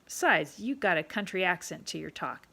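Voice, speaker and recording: A woman's voice: lowish voice, lively intonation, moderate pace, bright, forceful tone, full character voice. A woman doing a brusque, coarse pub owner. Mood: gruff, bossy. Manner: rough, ungrammatical talk, bossy.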